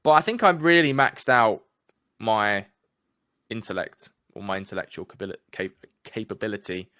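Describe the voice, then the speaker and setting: Young man, English accent, casual chatty guest, low-ish voice, dry close sound. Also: lively intonation, normal speed, bright, forceful tone, clear, resonant tone, no room sound. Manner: candid, chatty, slangy. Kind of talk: casual conversation.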